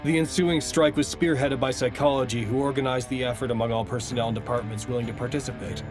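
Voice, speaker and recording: A man's voice: medium pitch, fairly even intonation, fast talker, over music, natural voice. A man acting in an audio drama as an earnest, exasperated staffer caught between feuding factions. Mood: measured, formal. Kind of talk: audio drama acting with formal log narration.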